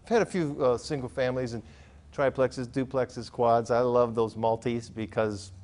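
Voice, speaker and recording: A man in his fifties with an American accent hosts a business interview in a studio. His voice sits at an average male pitch, and he talks conversationally with his guest. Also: fairly even intonation, moderate pace, darkish tone. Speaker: host, interviewer.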